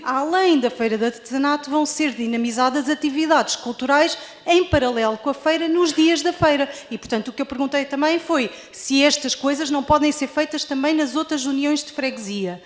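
A woman's voice: high voice, a little fast. A woman with a European Portuguese accent, a municipal deputy who speaks formally and measuredly at a council meeting, then more directly when restating her questions. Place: large council chamber. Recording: long reverb of a council hall.

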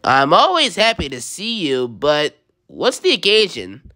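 A woman's voice: low-pitched, huge pitch swings, unhurried, a bit bright, heavily acted. A woman voicing a cocky, easygoing young male blue hedgehog hero in an amateur comic dub, with breezy, casual delivery.

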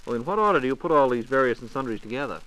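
A man's voice: medium pitch, animated, racing, fairly bright tone. An American man with a polished announcer delivery, hosting a scripted 1940s radio programme. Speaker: radio host and interviewer. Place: radio studio. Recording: noisy vintage 1940s radio transcription disc.

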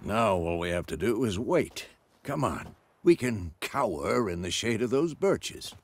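Old grizzled monster hunter mentor, game dialogue, slow n gravelly, wry, swoops around. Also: male voice, fairly low pitch, big pitch swings, no room sound, heavily acted. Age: older. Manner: measured, emphatic, instructing. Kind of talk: video game dialogue acting.

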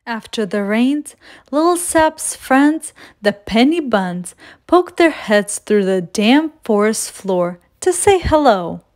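A woman reading a children's picture book aloud in a measured, deliberate storytelling manner. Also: fairly high pitch, big pitch swings. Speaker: children's storytime reader and host.

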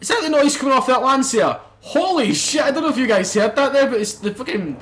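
A young man commentating a racing game let's play, excitable and swearing, bursting into loud exclamations. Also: very high voice, fairly quick. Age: young adult. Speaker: gaming channel host.

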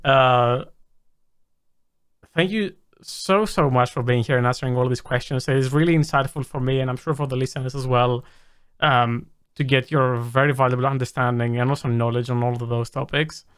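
A man's voice: mid-range voice, fairly even intonation, a bit bright, clean tone. A man hosting a tech podcast, speaking in a friendly, appreciative way as he wraps up the talk with his guest. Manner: friendly, thankful, quick. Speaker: podcast host. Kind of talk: casual interview chat.

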